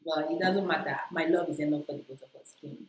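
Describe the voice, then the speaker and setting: A woman's voice: low-pitched, steady tone, fast, echoey room. A woman with a strong Igbo Nigerian accent, chatting playfully to camera as a vlogger and repeating herself for emphasis. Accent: Igbo-accented Nigerian English. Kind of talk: casual chat to camera.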